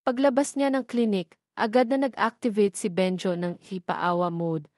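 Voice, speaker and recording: A woman's voice: medium pitch, fairly even intonation, moderate pace, darkish tone, no room sound. Filipino woman narrating a story, dry close sound. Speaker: narrator of a romance audio story.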